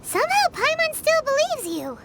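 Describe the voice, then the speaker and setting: A woman voicing a small childlike fairy companion in a video game, squeaky, perky, blunt and excitable. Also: normal speed, heavy background noise, full character voice. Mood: curious, chatty. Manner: excitable, speaks of self in third person. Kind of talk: video game character acting. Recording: music playing behind the voice.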